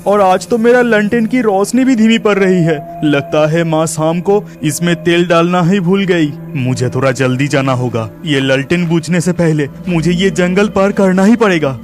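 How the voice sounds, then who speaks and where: An actor playing a brave but anxious young village lad, thinking aloud dramatically in a cartoon horror story. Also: male voice, high voice, moderate pace, hardly any pauses, faint music behind, lightly acted. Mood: uneasy.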